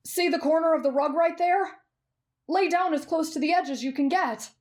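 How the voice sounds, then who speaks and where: A woman playing a kind, polite, earnest teenage boy in an anime fan roleplay, speaking gently and considerately. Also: very high voice, moderate pace. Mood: eager, friendly.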